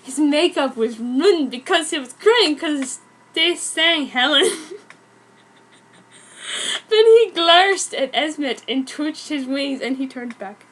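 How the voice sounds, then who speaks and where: A woman's voice: high-pitched, animated, slow, a bit bright. A woman giving a dramatic comic reading of fan fiction, amused yet mock-serious. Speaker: reader. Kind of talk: dramatic comic narration of a fanfic.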